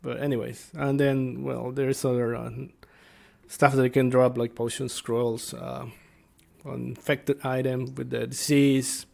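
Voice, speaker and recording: Slow, halting man with a creaky voice. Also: medium pitch, darkish tone. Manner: halting, searching for words. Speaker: tabletop game master and video host. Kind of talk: casual unscripted explainer vlog.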